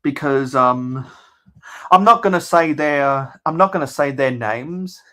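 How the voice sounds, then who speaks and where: A young man with a mild Australian accent, chatting casually as a channel host in a measured, halting way that circles back on his phrases. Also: mid-range voice, pushed and bright. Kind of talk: casual livestream chat.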